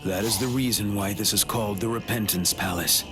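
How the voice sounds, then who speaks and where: An actor playing a solemn, unforgiving prison escort in an anime game, speaking formally and deliberately with admonishment. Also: male voice, on the low side, over music, lightly acted. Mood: solemn, admonishing. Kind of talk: English-dubbed anime game dialogue.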